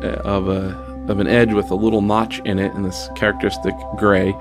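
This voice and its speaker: Gravelly, lower-voiced man. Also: little pitch movement, a little fast, music in the background. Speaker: physicist, interviewee. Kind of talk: recounting an anecdote in an interview.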